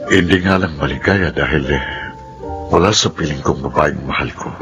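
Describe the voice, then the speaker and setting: A man's voice: rumbling low, moderate pace. A man playing an earnest, lovelorn bachelor businessman in a romantic radio drama, tender in manner, with music underneath.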